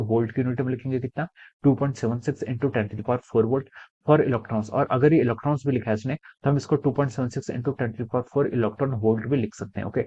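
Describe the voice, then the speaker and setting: A man's voice: medium pitch, dark tone. Indian male tutor, muffled lo-fi sound. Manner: repetitive, frequently asking and answering own questions; switches between Hindi and English. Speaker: physics tutor. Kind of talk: step-by-step problem-solving lesson addressed to students.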